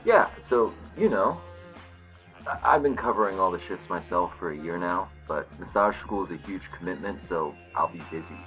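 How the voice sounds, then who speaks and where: Low-voiced, blunt young store manager played by a man. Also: expressive, moderate pace, fairly bright tone, faint music behind. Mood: casual. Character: Seth, blunt young video store manager, twenty-five. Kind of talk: table read acting of a TV pilot script.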